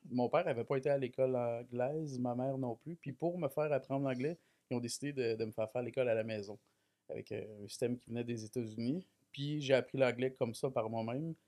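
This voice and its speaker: Man, 30s, Quebec French accent, podcast guest, laid-back, self-deprecating, hesitant fillers, mid-pitched. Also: fairly quick. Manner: relaxed, self-deprecating storyteller with many fillers. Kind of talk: casual chat.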